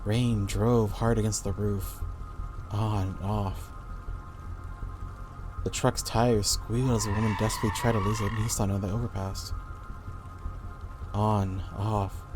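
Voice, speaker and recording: Low-pitched man narrating horror over music. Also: little pitch movement, measured pace, long pauses, darkish tone. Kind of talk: scripted horror story narration. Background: background music.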